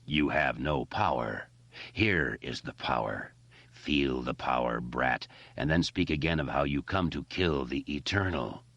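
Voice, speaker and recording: A man puts on an ancient cosmic monster for an audiobook, very low and quiet, drawn out and contemptuous. Every word looms slowly and coldly. The recording is dry and a little muffled. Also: no echo, exaggerated voice. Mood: menacing. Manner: slow, looming, contemptuous. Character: ancient evil male cosmic monster, cold and menacing. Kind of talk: audiobook character voice.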